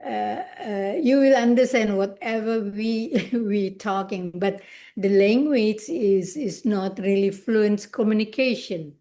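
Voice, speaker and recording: An older woman from Indonesia, whose first language is Indonesian, speaking haltingly and searching for words in an interview. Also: medium pitch, dark tone. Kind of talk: conversational interview.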